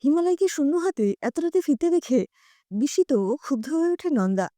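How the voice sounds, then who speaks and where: A woman narrating a scripted short story in an expressive storytelling style. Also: high voice, brisk, no room sound, studio quality.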